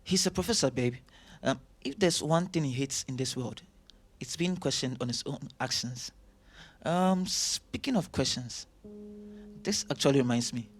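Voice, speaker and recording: An actor playing an affectionate, teasing young doctor and favoured son in an audio drama, earnest and playful. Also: male voice, mid-range voice, moderate pace, close to an everyday voice. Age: young adult.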